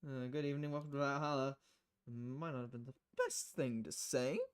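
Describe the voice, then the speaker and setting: A woman plays a visual novel bartender with a lowered voice. She is calm and dry, answering in an unhurried deadpan. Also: clear, resonant tone. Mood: polite, wry.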